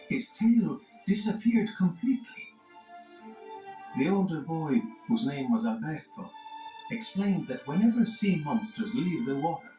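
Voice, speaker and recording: A man reading scripted children's read-along narration in an expressive, dramatic storytelling manner. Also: on the high side. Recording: echoey narration over music. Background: music.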